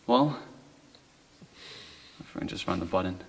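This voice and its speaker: A man giving informal instruction as a caver, in halting phrases that trail off. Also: low voice, lively intonation, creaky, slightly rough.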